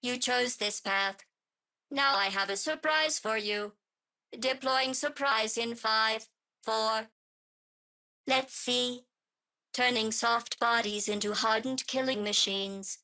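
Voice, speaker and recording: A woman voicing a cold, murderous artificial intelligence in a video game, deadpan, clinical and mock-polite. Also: fairly high pitch, takes it slowly, gravelly, full character voice, rough recording. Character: cold, sardonic, murderous female artificial intelligence running tests.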